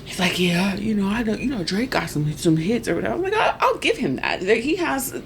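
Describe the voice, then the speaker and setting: A woman in her forties telling stories in a casual podcast chat, animated and laughing, acting out other people's voices. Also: medium pitch, brisk, a little hiss or hum. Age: 40s. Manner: animated storyteller, acts out voices, laughs often. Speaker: podcast guest.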